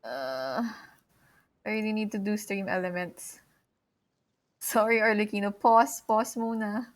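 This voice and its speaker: A woman's voice: mid-range voice, unhurried. Casual woman game streamer. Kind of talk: casual live-stream chat while gaming. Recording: game music behind the voice.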